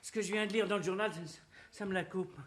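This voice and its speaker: An actor playing a good-natured, excitable working-class sidekick, colloquial and exclamatory, in radio-play style. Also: male voice, sits high, fast, lightly acted. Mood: agitated, worried. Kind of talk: French radio-play acting.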